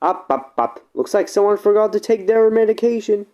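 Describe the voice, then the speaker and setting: An amateur voicing a snarky, excitable cartoon character with a sarcastic, dramatic delivery, recorded in low quality on a tablet. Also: brisk, natural voice.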